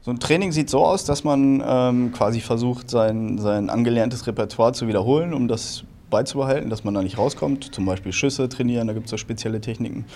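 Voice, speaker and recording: A man in his thirties speaks at an ordinary middle pitch. He sounds relaxed and thoughtful, pausing now and then to find his words. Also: a little hiss or hum. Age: 30s. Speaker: table football league player. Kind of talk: interview statements.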